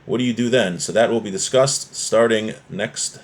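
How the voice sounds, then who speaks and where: A man's voice: lowish voice, moderate pace. Man teaching an informal religious class. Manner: explanatory, often checking with "right?". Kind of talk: informal religious class, reading Hebrew text and explaining it.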